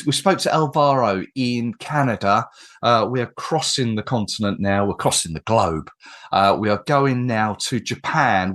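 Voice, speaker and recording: A man's voice: mid-range voice, a bit bright. A man from England hosting a football podcast, chatting with guests in a rambling, friendly way with frequent restarts. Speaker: podcast host. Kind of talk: casual podcast interview.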